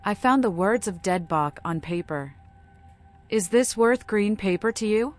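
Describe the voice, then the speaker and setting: A woman's voice: medium pitch, animated, unhurried, faint music behind, close to an everyday voice. A woman voicing a playful, cryptic, deranged female vampire in a video game, with an eccentric and whimsical manner. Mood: gleeful. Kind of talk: modded video game voice acting.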